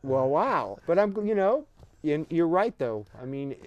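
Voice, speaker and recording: A male talk show host speaks in a chatty, affirming way, tossing in quick asides. His voice is smooth and clear and sits a little high. The sound is dry, with no room echo. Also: big pitch swings, a little mellow, highs cut off. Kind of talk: casual interview hosting.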